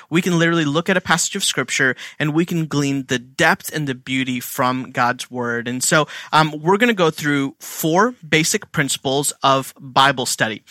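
A man's voice: on the high side, sharp tone, no room sound. A man teaching Bible study in a casual way, full of fillers, who asks questions and then answers them himself. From India. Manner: conversational, filler-heavy, asks and answers his own questions.

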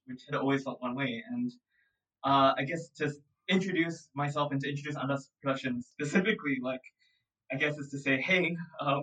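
Guest, twenties, thoughtful and rambling, slightly distant mic. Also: male voice, mid-range voice, bright, forceful tone. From Singapore. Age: 20s. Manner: reflective, rambling with many fillers and laughs. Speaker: guest, audio production founder. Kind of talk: casual remote interview chat.

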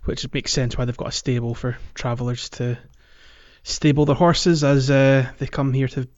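A man's voice: medium pitch, fairly even intonation, moderate pace, a little mellow, no room sound, muffled recording. A man co-hosting a podcast, explaining things in detail in casual gaming chat. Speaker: podcast co-host.

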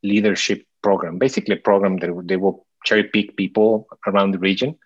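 Podcast guest: deep-voiced man from Mexico, forties, halting, dull dry recording. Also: little pitch movement, breathy edge, no room sound. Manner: hesitant, many restarts and fillers. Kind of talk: casual interview chat.

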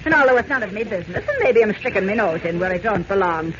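A performer playing a nosy, sharp-tongued old landlady, chatty and scolding, in a 1940s-style radio serial. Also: mid-range voice, animated, quick pace, natural voice. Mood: gossipy, indignant. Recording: muffled noisy old radio broadcast.

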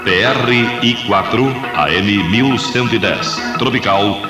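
A man's voice: fairly low pitch, slow pace. A Brazilian man reading a formal radio station sign-off as its announcer. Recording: echoey, distant, loud music behind. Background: loud music.

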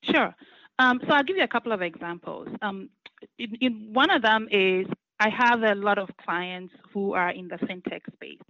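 A woman, a law firm partner giving measured, explanatory interview answers with frequent fillers. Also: medium pitch, bright, forceful tone, dry recording. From Ghana. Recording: muffled lo-fi audio.

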